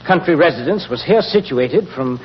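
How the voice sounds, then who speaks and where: An actor playing a nervous, genteel British banker, hesitant and stammering, in the manner of 1940s radio drama. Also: male voice, fairly high pitch, animated, moderate pace, close to an everyday voice. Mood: explanatory, formal. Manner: hesitant, fussy, polite, stammering. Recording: muffled, hissy, reverberant 1940s radio recording.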